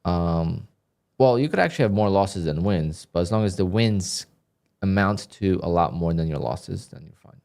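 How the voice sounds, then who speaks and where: Low-voiced man streaming his trading. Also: normal speed, creaky. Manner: flat, dry, sounds annoyed even when content. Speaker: day trader livestreamer. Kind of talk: live stream commentary, reading donations.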